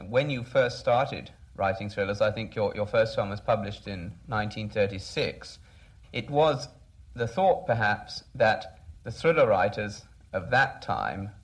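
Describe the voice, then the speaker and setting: A man interviewing someone in conversation, phrasing his questions tentatively and in a roundabout way. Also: fairly low pitch.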